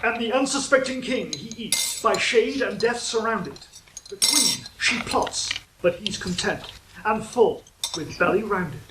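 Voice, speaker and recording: Guy acting as a pompous court poet, high voice, flowery and declamatory, roomy echo, comedy radio play. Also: animated, unhurried, heavy background noise. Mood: florid reciting. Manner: florid, declamatory. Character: pompous, put-upon male royal poet locked in a tower. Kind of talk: theatrical narrated monologue in verse and prose.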